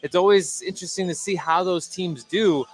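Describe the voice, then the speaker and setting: An American man giving conversational basketball analysis, halting and repeating words as he goes. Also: high voice. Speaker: color commentator. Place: indoor basketball gym, courtside broadcast. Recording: faint gym noise behind.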